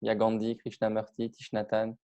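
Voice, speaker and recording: A man's voice: fairly low pitch, monotone, brisk, mellow, smooth, muffled recording. A middle-aged man from Iran, a public speaking trainer, talking casually with many hesitations and restarts. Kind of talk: casual interview on video call.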